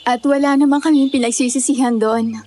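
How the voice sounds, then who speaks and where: A bold, frank young Filipino woman hiker , acted by a woman in an audio drama. Her voice is high, with faint cricket noise around it. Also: steady tone, a little fast, warm tone, natural voice. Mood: frank, easygoing. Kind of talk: conversational audio drama acting.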